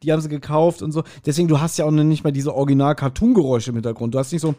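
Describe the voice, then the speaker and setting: A man in his forties talking casually and nostalgically as a podcast co-host, telling stories in an animated, self-deprecating way. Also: fairly high pitch, very fast. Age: 40s.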